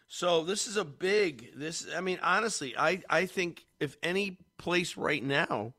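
A man's voice: medium pitch, a bit bright. A man hosting a talk radio show, congested, rambling casually with many fillers and restarts.

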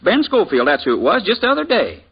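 A chatty frontier blacksmith with a high, lively, husky voice and folksy country grammar. He speaks in an old radio play. The broadcast sound is dull. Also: quick pace, exaggerated voice. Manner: folksy rural speech. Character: friendly small-town blacksmith, talkative. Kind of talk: 1950s radio drama acting.

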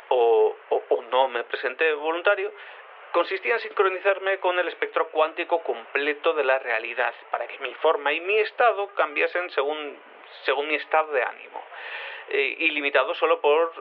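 Tinny phone line, befuddled cat-turned-human, hesitant stammering, swooping gravelly voice, audio drama. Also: male voice, fairly high pitch, slight background noise. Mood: confused, explanatory. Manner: hesitant, stammering, self-contradicting. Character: confused male quantum cat turned human, hesitant, rambling. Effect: telephone filter. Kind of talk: audio fiction acting as an interviewee.

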